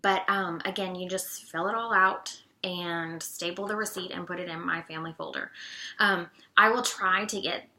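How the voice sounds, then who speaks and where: Raspy-voiced woman, bright and chatty. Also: lowish voice, fairly even intonation, normal speed, a little hiss or hum, poor audio. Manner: friendly, chatty, many "um"s. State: raspy from allergies. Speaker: co-op treasurer. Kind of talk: casual informational video talk.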